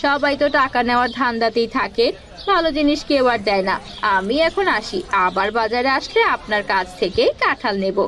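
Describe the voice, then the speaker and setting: A woman's voice: a little high, animated, brisk, fairly bright tone, slight background noise. A woman voicing a polite, appreciative market customer in a cartoon. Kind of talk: cartoon voice acting.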